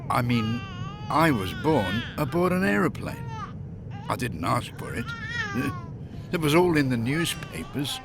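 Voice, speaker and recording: Jokey man with lively, swinging intonation. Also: medium pitch, measured pace, pauses often, noisy background. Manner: jokey, wry, often laughing at own jokes. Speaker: expatriate living in Finland. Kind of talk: casual humorous chat, telling anecdotes and jokes.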